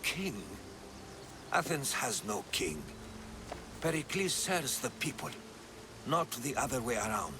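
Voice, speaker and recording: An actor playing a grave, principled older Greek man, a loyal advisor in a video game cutscene, measured and earnest. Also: mid-range voice, very animated, background noise. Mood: admonishing.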